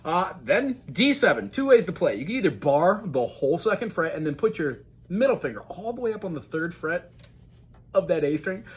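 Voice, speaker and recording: A man teaching a casual ukulele lesson, talking through chords in a friendly, chatty way and singing along. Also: a little high. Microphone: recorded alongside his own ukulele playing. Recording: ukulele loud under voice.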